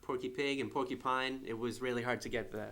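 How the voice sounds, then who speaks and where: A man's voice: mid-range voice, steady tone, quick pace, a bit bright. Laid-back male radio co-host. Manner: laid-back, hesitant with many fillers. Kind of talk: casual radio chat.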